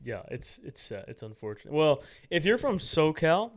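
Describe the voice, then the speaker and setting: Laid-back young man, slightly high voice. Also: sing-song, normal speed. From United States. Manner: laid-back, lots of "yeah" and slang. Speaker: podcast co-host. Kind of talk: casual chat.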